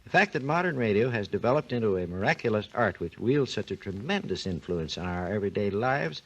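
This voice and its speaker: Husky-voiced man lecturing on 1940s radio. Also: on the low side, big pitch swings, a bit bright, highs cut off. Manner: measured, explanatory lecturing. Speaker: research engineer guest. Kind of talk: scripted 1940s radio talk.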